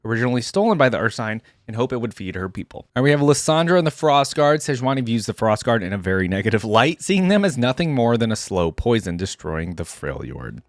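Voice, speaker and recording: A man's voice: mid-range voice, big pitch swings, fairly quick, few pauses, no echo. Casual man reacting, close dry sound. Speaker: reaction video host. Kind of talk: casual chat, reading wiki lore aloud.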